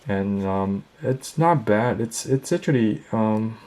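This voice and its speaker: Low-pitched man giving a casual product demo. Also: lively intonation, takes it slowly, a little mellow. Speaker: product reviewer. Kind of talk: casual product review demonstration.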